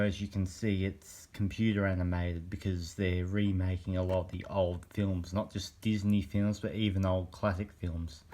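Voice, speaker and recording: A young man with a very deep voice, made croaky by a cold. He rambles along in a casual phone-camera vlog, pausing often to find his words. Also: darkish tone. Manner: hesitant, meandering, many pauses. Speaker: vlogger. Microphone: handheld phone camera.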